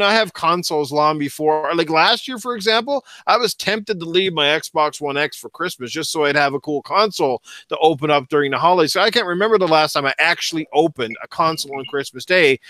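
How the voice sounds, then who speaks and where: A man in his forties, a podcast co-host, telling a story in loose, rambling chat. His voice sits a little high, and it sounds dry and close. He keeps tossing in "right?" and "you know". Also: sharp tone, dry recording. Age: 40s.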